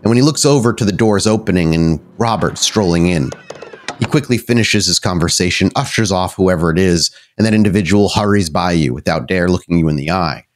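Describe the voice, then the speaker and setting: Low-voiced male game master. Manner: descriptive scene-setting. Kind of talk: narrating a tabletop horror game.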